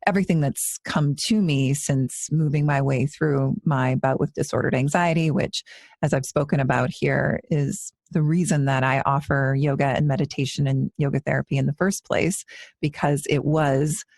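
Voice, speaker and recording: A woman's voice: extremely low pitch, steady tone, moderate pace, vocal fry, a little mellow, dry recording, studio quality. A woman in her forties, a yoga teacher co-hosting a podcast, talking in an earnest, reflective way with frequent restarts. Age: 40s. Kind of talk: casual podcast conversation.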